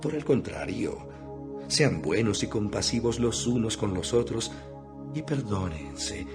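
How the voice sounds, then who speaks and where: A man's voice: on the low side, very animated, measured pace. A man giving an earnest, instructive dramatized reading of scripture as an audio Bible narrator. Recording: music behind the voice. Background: background music.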